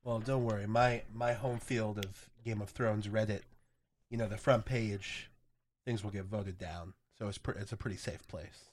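A man's voice: on the low side, fairly even intonation, moderate pace. A man co-hosting a podcast while sick, his voice a little off, rambling in casual chat. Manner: rambling, repeats words as he talks. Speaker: podcast co-host.

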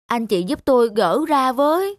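Scheming fairy-tale fox female performer, expressive high voice, slippery and coaxing. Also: moderate pace, no room sound. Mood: falsely friendly. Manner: coaxing, crafty, feigned nonchalance. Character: sly, scheming hungry fox posing as friendly. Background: music.

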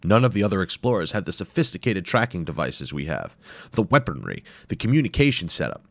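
Guy doing a cocky upbeat explorer, teasing, a bit higher and louder than his own voice, storybook. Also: big pitch swings, brisk, gravelly, dry recording, exaggerated voice. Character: eager, confident male explorer and scientist.

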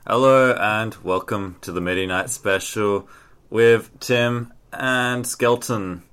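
A man's voice: lowish voice, takes it slowly, a bit bright, smooth. A man co-hosting a comedy podcast, telling rambling, earnest-sounding stories in comic banter. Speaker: podcast co-host.

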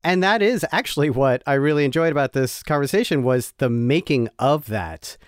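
A man's voice: mid-range voice, lively intonation, normal speed, clean tone, dry recording. A man co-hosting a podcast, talking enthusiastically and repeating phrases for emphasis. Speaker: podcast co-host. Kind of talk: casual show intro chat.

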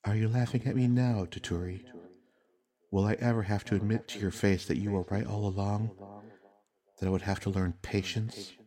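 Man voicing a proud young samurai lady, low-voiced. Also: fairly even intonation, normal speed, dark tone, natural voice. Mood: wry, wistful. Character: proud young female samurai lord, stern but wistful.

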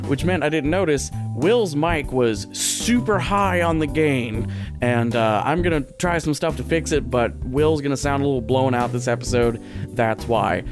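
Jokey male podcast host at home. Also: mid-range voice. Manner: jokey, playful, rambling asides. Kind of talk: casual podcast chat. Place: living room at home. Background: radio sound effects and music.

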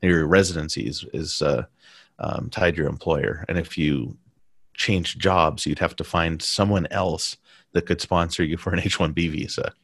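A man talking casually in a conversation, pausing and restarting his sentences often. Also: deep voice, slightly rough, dry recording. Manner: halting, many restarts and fillers.

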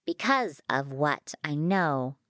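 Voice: mid-range voice, expressive, takes it slowly, fairly bright tone, no echo. Woman acting polite machine intelligence, sly and teasing, formal wording, swooping intonation, dry close sound, science fiction audiobook.

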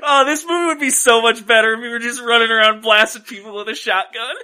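A podcast co-host chatting casually about films in an animated, opinionated way, with some swearing. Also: medium pitch, quick pace. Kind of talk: casual film discussion chat.

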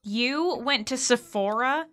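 An actress playing a self-conscious, anxious young eldest sister with an American accent, in a naturalistic modern audio drama. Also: female voice, on the high side, slow pace, a bit bright. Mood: touched, curious. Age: young adult. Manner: self-deprecating, earnest.

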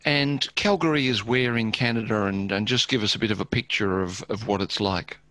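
A man's voice: lowish voice, a little fast, a bit bright, no echo. A man interviewing in a conversational way, checking and rephrasing as he asks.

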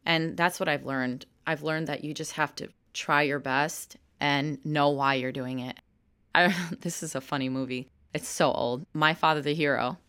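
A woman's voice: low-pitched, fairly even intonation, normal speed, fairly bright tone, clean tone. A woman in her thirties, an actor and comedian, answering interview questions frankly and laughing easily. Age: 30s.

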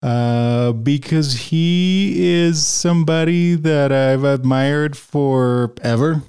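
Venezuelan dude on a podcast, smooth resonant voice, rambles with tons of fillers. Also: male voice, fairly high pitch, slow, darkish tone. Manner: rambling, many fillers and restarts, laughs often. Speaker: podcast guest, freelance video producer. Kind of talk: casual interview chat.

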